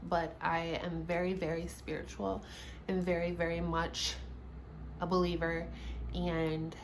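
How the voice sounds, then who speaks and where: A woman's voice: on the low side, little pitch movement, measured pace, slight background noise. A woman in her late twenties chatting casually in a sit-down get-to-know-me vlog, laughing often and restarting her sentences. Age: 20s. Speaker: YouTuber. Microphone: camera mic, seated at home.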